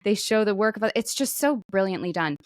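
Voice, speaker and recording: A woman's voice: medium pitch, lively intonation, fairly quick, dry recording, studio quality. A woman co-hosting a podcast in casual comedic conversation, with a playful, wry way of talking. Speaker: podcast co-host.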